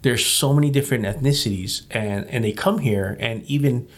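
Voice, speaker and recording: A middle-aged man who grew up in Hawaii talks as an interview guest. His mid-range voice is relaxed and conversational. He restarts his sentences often and leans on fillers. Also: medium pitch, a little fast. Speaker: managing director of a community group, guest. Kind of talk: casual studio interview.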